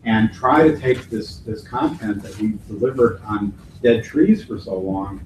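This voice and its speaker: A man's voice: on the low side, measured pace. A man speaking as a conference keynote speaker, answering audience questions in a thoughtful way, restarting phrases and using folksy sayings. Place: hotel conference hall. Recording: echoey hall from far off, faint noise.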